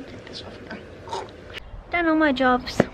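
A woman's voice: high voice, takes it slowly, muffled recording. A young woman vlogging to a handheld camera, chatting casually and rambling with many ums and small laughs, first out in a windy town and then at home. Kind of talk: casual vlog chat. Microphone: handheld camera at arm's length.

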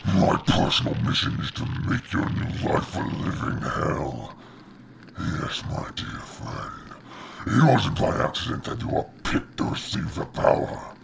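A huge demon lord of hell, cruel and taunting. The deep voice crackles with heavy gravel and swoops theatrically over a noisy, roaring bed in a comic dub. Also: male voice, big pitch swings, unhurried, heavily acted. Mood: mocking. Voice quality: gravelly, growling. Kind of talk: comic dub villain acting. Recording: noisy, with roaring ambience behind.

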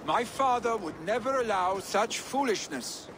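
High-pitched headstrong young Viking. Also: male voice, animated, measured pace, noisy background. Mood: firm, protective. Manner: forceful, emphatic. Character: headstrong, grieving young Viking jarl's son.

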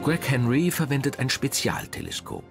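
A man reading a scripted documentary narration in a measured, dramatic manner. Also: mid-range voice, wildly swooping pitch, vocal fry, over music.

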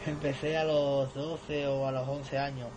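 Even-toned teenage male over noisy background. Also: medium pitch, unhurried. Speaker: novice radio operator. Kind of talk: casual radio contact chat. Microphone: camera filming the radio set in room. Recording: radio static and noise behind voice.

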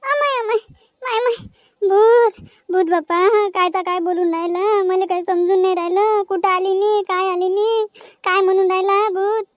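A performer voicing a terrified village wife lost in a haunted forest, speaking with a Varhadi Marathi accent and crying out frantic, wailing pleas to god. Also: female voice, extremely high pitch, level tone, moderate pace, dry recording, lo-fi sound. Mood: panicked. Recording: dry close recording with faint music.

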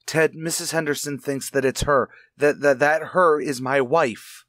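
An actor playing an anxious husband in a radio mystery, halting and earnest as he confides in a friend. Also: on the high side, steady tone, fairly bright tone, dry recording, clean studio sound. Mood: anxious, pleading. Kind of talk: radio mystery drama acting.

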